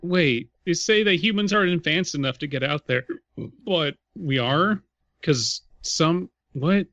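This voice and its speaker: Higher-voiced male podcaster, dull dry audio. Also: unhurried, fairly bright tone, no echo. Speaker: podcast co-host. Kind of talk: casual comedic banter. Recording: dull, lo-fi but dry track.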